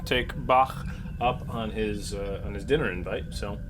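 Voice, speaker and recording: A man's voice: fairly low pitch, a little slow, background noise. A man chatting casually as a player in a tabletop roleplaying game, taking the part of a captain. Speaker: player acting as starship captain.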